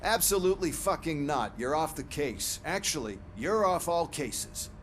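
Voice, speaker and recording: An actor playing an irritated, bossy police superior in a video game, curt and scornful. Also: male voice, a little high, lively intonation, normal speed, a bit bright, a little hiss or hum.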